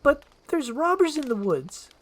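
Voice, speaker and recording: Lady doing a bossy young princess, imperious and old-timey proper, swoopy lively pitch, fairy tale script. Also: a little slow. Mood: anxious. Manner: imperious, archaic courtly phrasing. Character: haughty, demanding young princess, formal and romantic.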